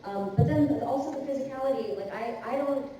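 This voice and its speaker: A woman's voice: high-pitched, brisk, warm tone, slight background noise. Chatty woman voice actor in an echoey hall. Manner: chatty, laughs easily, restarts a lot. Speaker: voice actor on a convention panel. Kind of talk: casual panel answer. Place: large convention hall. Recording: echoey hall from far off with crowd.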